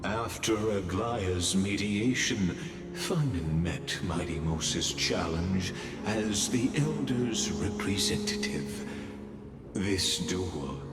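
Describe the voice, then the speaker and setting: A deep grave chronicler. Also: male voice, takes it slowly. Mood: solemn. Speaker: narrator. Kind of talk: solemn game narration. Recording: echoey hall with game music.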